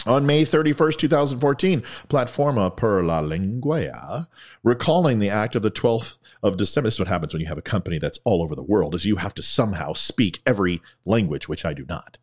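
A man's voice: lowish voice, very animated, brisk. A man reading out facts in a commentary video, adding offhand jokey asides and stumbling over foreign names.

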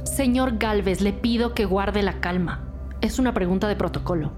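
Woman acting firm investigator, mid-pitched, music behind. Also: moderate pace, natural voice. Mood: firm, businesslike. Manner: formal, controlled. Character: firm, procedural police investigator. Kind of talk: audio drama acting.

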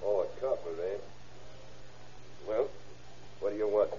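A man's voice: lowish voice, measured pace, warm tone, lightly acted. An American man acting a violent, defiant young forger, a sneering tough guy in a 1930s radio drama. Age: young adult. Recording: tinny, noisy old radio recording.

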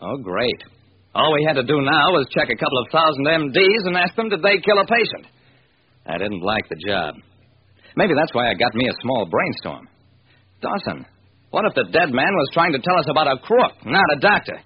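A man's voice: on the high side, big pitch swings, moderate pace, breathy edge, no echo. An actor playing a driven, wry police detective who narrates his case in hard-boiled radio drama style. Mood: wry, determined. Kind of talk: old-time radio crime drama narration. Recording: dull, noisy vintage radio recording.

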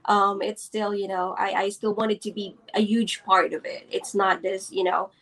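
A woman's voice: medium pitch, little pitch movement, moderate pace, a bit bright, rough recording. A woman from the Philippines, a business owner being interviewed, hesitant with many fillers and restarts, over a video call. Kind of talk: casual interview.

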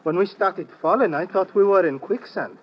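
High-pitched, easygoing island sidekick. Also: male voice, moderate pace. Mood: unruffled. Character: easygoing male guitar-playing singing island sidekick. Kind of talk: 1950s radio adventure acting with sung narration. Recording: dull old radio recording, music behind.

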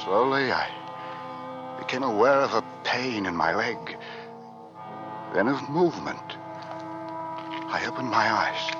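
Grave, low-voiced Arctic ship's officer. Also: male voice, lively intonation, slow pace, long pauses. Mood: dazed. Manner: grave, dramatic storytelling. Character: weary ship's officer recounting a doomed 1850s Arctic expedition. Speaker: actor and narrator. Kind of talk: old-time radio drama narration. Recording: muffled vintage radio recording, loud music.

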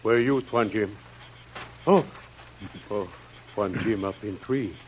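A man's voice: lowish voice, slow, darkish tone. A man playing a humble, loyal native jungle servant in an old radio serial, speaking broken pidgin English and naming himself in the third person. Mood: dutiful, earnest. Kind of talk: old-time radio adventure serial acting. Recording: noisy old radio drama recording.

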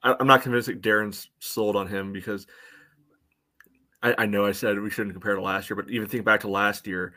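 Man, fairly low voice, soccer podcast co-host, casual analysis, conversational, hedging phrasing. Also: steady tone, a little fast, sharp tone. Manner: conversational, hedging, frequent "you know".

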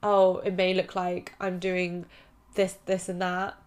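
A young woman hosts a solo podcast in a chatty, encouraging way and leans on "like" as a filler. Her voice sits a little low for a woman. She sounds slightly stuffed up in the nose. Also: completely flat delivery, slow pace. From United Kingdom. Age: 20s. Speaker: podcast host. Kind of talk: casual solo podcast advice.

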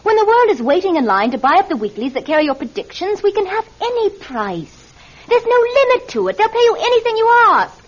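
A woman's voice: very high-pitched, very animated, a little fast. A woman playing an earnest, insistent daughter in a radio drama, with a dramatic manner. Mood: insistent, pleading. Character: eager, insistent daughter, narrating childhood and playing it. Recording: muffled, dull old radio drama sound.

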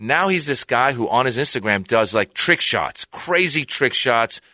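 A man from Iran, a comedian guest, telling a rambling, animated story in casual chat, with restarts and acted-out quotes. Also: medium pitch, normal speed, no echo, studio quality.

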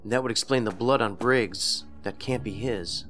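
An actor playing an outspoken, wry federal agent with a dry way of talking in an audio drama. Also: male voice, on the low side, normal speed, a bit bright, soft background music, natural voice.

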